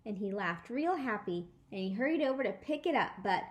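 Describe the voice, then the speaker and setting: A woman storyteller reading a children's book aloud in an animated, emphatic way. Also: mid-range voice, a little fast. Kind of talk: expressive children's book read-aloud in a child narrator's words.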